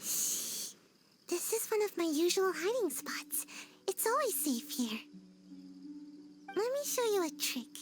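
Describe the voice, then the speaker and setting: Hushed, high-voiced shy child. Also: female voice, big pitch swings, slow, long pauses, soft background music, heavily acted. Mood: hushed, reassuring. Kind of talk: video game cutscene acting.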